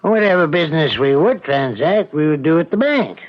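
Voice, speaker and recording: A muffled old radio recording holds a man acting a testy frontier banker and judge. His fairly high voice is stiff and self-important, clipped and affronted in classic mystery-drama style. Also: expressive, normal speed, lightly acted. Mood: indignant. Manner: stiff, indignant, curt. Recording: dull, band-limited old radio recording.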